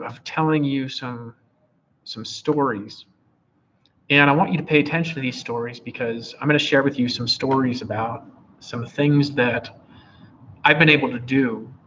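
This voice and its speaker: A hoarse man giving a rambling, repetitive freestyle motivational talk to a live audience, often restarting his phrases. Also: medium pitch, a bit bright. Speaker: motivational speaker. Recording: dull lo-fi with faint background hiss.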